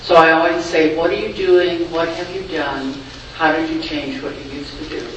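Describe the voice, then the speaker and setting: A man's voice: on the high side, fairly even intonation, moderate pace, a bit bright. A man who facilitates therapy groups, sharing an earnest, reflective story at a group meeting. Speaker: group facilitator at a psychiatric unit. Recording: echoey room, far from mic, noisy.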